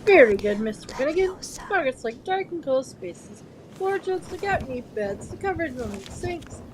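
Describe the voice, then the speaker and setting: A woman's voice: high voice, big pitch swings, normal speed, background noise. A woman playing a courteous, encouraging male professor instructing a class in an audio drama. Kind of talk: fan audio drama acting.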